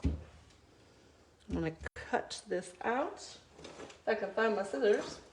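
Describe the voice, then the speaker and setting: A woman's voice: medium pitch, lively intonation, takes it slowly, very noisy. A middle-aged woman hosting a live crafting video, chatting casually with many ums while she works, still stuffy from a cold.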